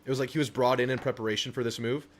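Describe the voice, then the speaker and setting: A man's voice: medium pitch, fairly even intonation, rapid-fire. A young man hosting a casual live stream, reading viewer messages aloud and answering them. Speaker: livestream host.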